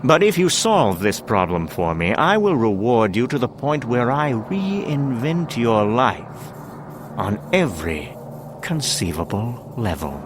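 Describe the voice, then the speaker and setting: A man acting as a menacing, grandiose scientist-industrialist in a comic-book drama, speaking theatrically with deliberate pauses. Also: mid-range voice, sing-song, noisy background. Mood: cold threat.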